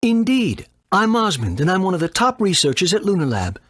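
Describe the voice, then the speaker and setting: A man's voice: high voice, big pitch swings, brisk, a bit bright, slightly rough, no room sound, clean studio sound, exaggerated voice. An actor playing an eccentric male top researcher in a video game, with a theatrical, quirky manner. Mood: quirky, helpful.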